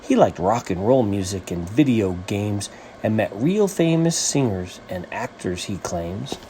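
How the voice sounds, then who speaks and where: A man reading a rhyming children's story as its author, with an animated, sing-song rhythmic delivery. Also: lowish voice, unhurried, a little hiss or hum.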